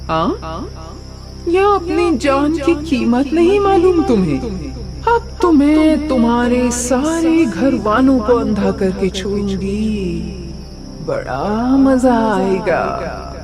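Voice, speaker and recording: Vengeful bathroom ghost, high-pitched, very slow, gloating and taunting, horror cartoon. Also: female voice, big pitch swings, over music. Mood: menacing. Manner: taunting, drawn-out menacing delivery with cackles. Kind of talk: horror cartoon story acting.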